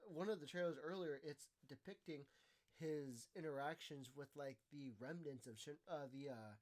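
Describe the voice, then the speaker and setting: Male co-host, slightly high voice, stumbles and restarts while explaining. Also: a little mellow. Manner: halting, many fillers and restarts while explaining. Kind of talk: casual reaction chat.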